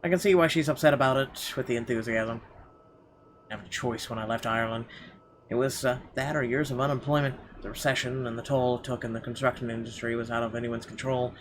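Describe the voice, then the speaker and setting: Casting: male game streamer, mid-pitched, halting read-aloud narration with casual asides, clearly noisy recording. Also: steady tone. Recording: clearly audible background noise.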